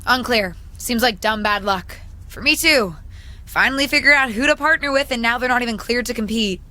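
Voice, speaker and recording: A woman's voice: on the high side, brisk, sharp tone. An actress playing an eager, plucky young female pro wrestler in a video game cutscene, speaking sincerely. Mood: reflective.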